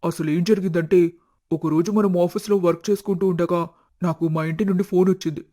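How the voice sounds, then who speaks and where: A man voicing a smooth persuasive young suitor. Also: high-pitched, warm tone, close to an everyday voice. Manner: explaining, persuasive. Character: smooth-talking young ex-boyfriend.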